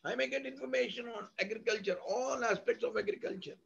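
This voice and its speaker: High-pitched, husky Indian man lecturing. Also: fast, a bit bright. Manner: didactic, emphatic, repeats with synonyms and lists. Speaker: university lecturer. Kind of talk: academic lecture, explanatory with examples. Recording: dull lo-fi audio.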